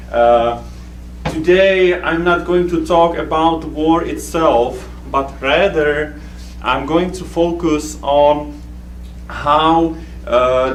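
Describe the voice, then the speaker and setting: Man with Czech accent, slightly high voice, hesitant academic lecture, faint hum in the background. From Czech Republic. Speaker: academic researcher giving a conference talk. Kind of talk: conference presentation. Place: conference hall. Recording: echoey room recorded from a distance with faint hum.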